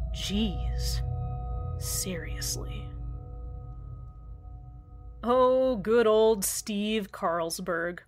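A woman playing a cheerful radio host with an eerily calm, sweet manner. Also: medium pitch. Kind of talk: scripted radio drama narration.

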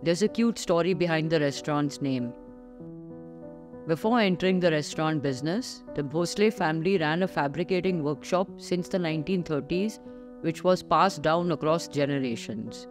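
A middle-aged woman with an Indian English accent giving scripted voice-over narration for a restaurant visit, measured and descriptive, with clear enthusiasm for the food. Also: deep voice. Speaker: food vlogger host. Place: voice-over recorded separately from the restaurant scenes. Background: background music under the narration.

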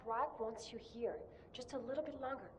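A woman's voice: fairly high pitch, normal speed, a little hiss or hum, close to an everyday voice. An actress playing an apologetic, placating female nurse in an asylum, speaking hesitantly in a video game.

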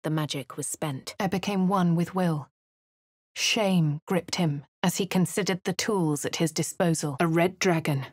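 An actress with a posh English accent playing a composed adventurer in a game, speaking in a dry, measured, lightly sardonic way. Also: female voice, on the low side, no room sound. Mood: wry. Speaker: player character. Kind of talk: game voice lines. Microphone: studio mic.

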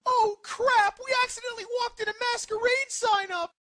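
A performer with a female-sounding voice playing a brash, excitable young ninja in a comic anime parody, exclamatory and blunt. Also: extremely high pitch, moderate pace, big-room sound. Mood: excited, appalled.

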